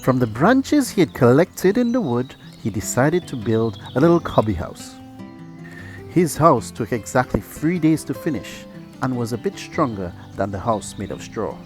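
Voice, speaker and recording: A father reading a children's bedtime story aloud in a gentle, even storytelling manner. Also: medium pitch, moderate pace, soft background music.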